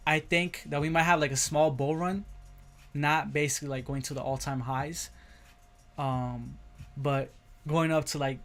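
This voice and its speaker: A man with an American accent and a slightly high voice hosts a casual livestream. He speaks informally, circling back over his points and often tagging on a quick check-in with his listeners. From Brazil, moved to the United States as a young child. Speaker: livestream host, crypto trader.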